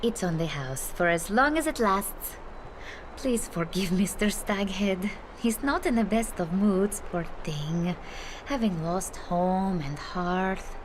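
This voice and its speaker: A woman's voice: medium pitch, animated, takes it slowly, background noise. A woman playing a kind, chatty, earthy tavern hostess in a video game, with a coaxing, affectionate, folksy manner. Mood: coaxing, playful. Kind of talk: video game cutscene acting.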